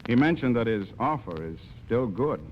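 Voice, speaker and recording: An actor playing an easygoing, affectionate stage-star husband, wry and playful, in 1940s radio romantic comedy style. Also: male voice, medium pitch, sing-song, moderate pace, slight background noise, natural voice. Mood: pleased. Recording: muffled 1940s radio recording.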